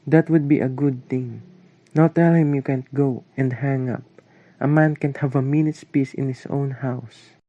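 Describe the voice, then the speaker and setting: A man's voice: medium pitch, fairly even intonation, a little slow, no echo, highs cut off, close to an everyday voice. A man acting a stern, irritable father in an amateur radio play, speaking in a curt, commanding way. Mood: stern, irritated.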